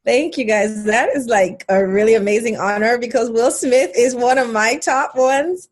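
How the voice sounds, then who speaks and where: A woman's voice: fairly high pitch, moderate pace. Woman from Nigeria, conversational storyteller. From Nigeria, born in England. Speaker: interview guest.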